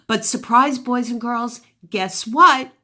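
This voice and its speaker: A woman's voice: on the high side, slow pace, sharp tone. A woman teacher giving a phonics lesson to young children, encouraging them with praise and questions and sounding out words with exaggerated articulation.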